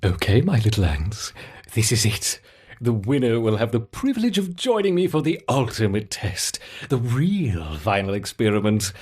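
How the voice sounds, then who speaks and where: A man's voice: lowish voice, husky, exaggerated voice. A man playing a put-upon, obsessive lab scientist in a game, with a muttering, theatrical delivery. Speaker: laboratory researcher. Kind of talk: video game character acting.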